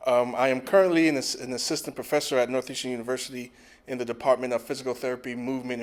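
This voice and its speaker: A man presenting an online lecture over a video call. He talks in a relaxed, easygoing way, with plenty of "um" and "you know" between points. His pitch sits in an ordinary middle range. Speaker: physical therapy professor giving a lecture.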